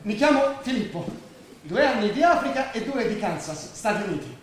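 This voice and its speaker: A man's voice: very high-pitched, animated, normal speed. A man acting on stage in an Italian drama, playing a brash, boastful, talkative squatter with a theatrical, cajoling manner. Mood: boastful, goading. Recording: very echoey hall, distant, faint noise.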